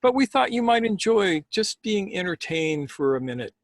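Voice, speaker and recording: A man with a husky voice who speaks as a storyteller and host, in a deliberate, measured way. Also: high-pitched, big pitch swings, dry recording, clean studio sound. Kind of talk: measured storytelling, then casual chat.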